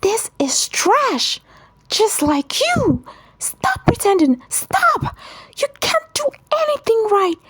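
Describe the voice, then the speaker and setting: A woman storyteller voicing a bitter, cruel young wife who shouts and sneers in a folktale. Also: very high-pitched, wildly swooping pitch, slow, no room sound, pitched above the performer's own voice, heavily acted, rough recording. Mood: sneering, shrill.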